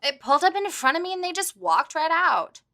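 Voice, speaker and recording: A man voicing a dim female creature with mandibles, in a high squeaky put-on voice and a flat, matter-of-fact delivery. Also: fairly quick, full character voice. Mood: deadpan.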